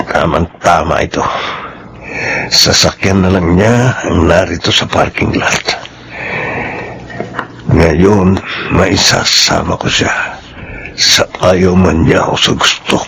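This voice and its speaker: A man's voice: sits low, takes it slowly, gravelly, pauses often, noisy background, full character voice. An actor playing a hot-tempered, arrogant young rich man who schemes as an obsessive suitor in a Filipino radio drama. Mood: sinister, gloating. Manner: menacing, arrogant.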